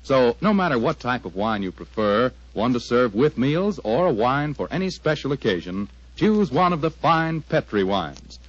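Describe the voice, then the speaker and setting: A man's voice: mid-range voice, big pitch swings, normal speed, hardly any pauses, no echo. An American man announcing in 1940s radio style, with polished, enthusiastic salesmanship. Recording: dull old-time radio recording.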